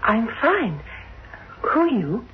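A woman's voice: a little high, sing-song, takes it slowly, heavy background noise. Innocent sixteen-year-old girl, naive, chatty, trusting, phone-like old radio drama sound. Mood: bright curiosity. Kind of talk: 1960s radio drama acting. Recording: tinny old radio recording.

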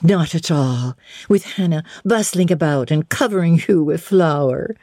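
A woman voicing a kindly but authoritative young Englishwoman in a classic novel reading, brisk, genteel and commanding. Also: low voice, dark tone, dry recording, studio quality.

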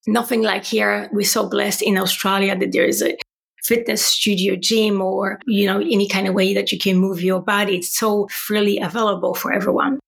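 Brief: Polish woman, 40s, podcast guest, gravelly and a bit husky, earnest storyteller. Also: mid-range voice, normal speed. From Poland. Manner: earnest, animated storytelling with tag questions. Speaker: wellbeing coach, podcast guest. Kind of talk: conversational interview.

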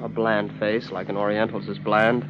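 A man's voice: lowish voice, flat delivery, moderate pace, muffled recording, lightly acted. Man playing a hesitant, formal young rich heir. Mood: hesitant, careful. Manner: formal, hesitant, careful wording. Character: hesitant, well-mannered young millionaire heir, male. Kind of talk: 1950s radio drama acting. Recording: noisy old radio recording with hum.

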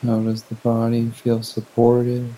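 Mellow-voiced man guiding a meditation. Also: medium pitch, completely flat delivery, rough recording. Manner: gentle, unhurried with long pauses. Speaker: meditation teacher. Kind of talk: guided meditation.

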